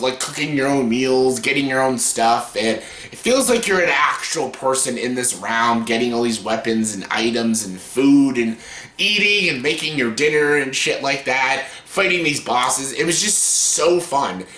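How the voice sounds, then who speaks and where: Late-20s man, scratchy, bright and forceful, casual rambling vlogger, roomy echo. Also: mid-range voice. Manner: rambling, profane, filler-heavy. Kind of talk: casual top-ten countdown.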